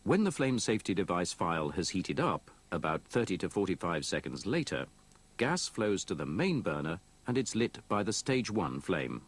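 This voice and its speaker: A man reads scripted instructional narration in a measured, methodical, explanatory way, copied from an old VHS tape. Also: deep voice, sing-song, slightly rough. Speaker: training film narrator.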